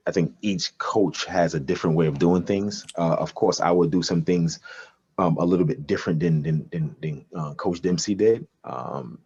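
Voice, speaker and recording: A man's voice: sits low, normal speed, darkish tone, slightly rough, no echo, highs cut off. A man, a basketball coach answering interview questions conversationally, hesitating and repeating words as he thinks. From Brooklyn, New York, United States. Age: 40s.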